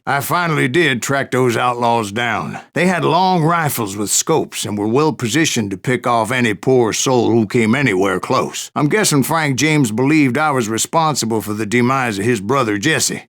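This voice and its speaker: An actor playing an old American Wild West bounty hunter, gruff and weathered, spinning vengeful tales in an unhurried, folksy, salty way. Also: male voice, mid-range voice, fairly bright tone. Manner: unhurried, folksy, salty-tongued drawling storyteller. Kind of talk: first-person yarn-spinning narration for a Western game.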